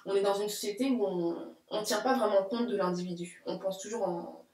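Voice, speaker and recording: A woman's voice: mid-range voice, a little fast, a little mellow. A woman in her late twenties, a guest in a casual discussion, who talks reflectively in long runs. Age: late 20s. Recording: roomy echo.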